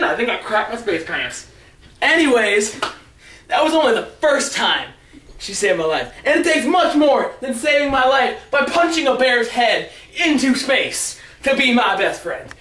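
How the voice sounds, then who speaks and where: High-pitched excitable man telling stories, echoey. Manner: excitable, rambling, dramatic build-ups with shouts. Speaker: storyteller. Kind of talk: animated comic storytelling. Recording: roomy echo, far from the mic.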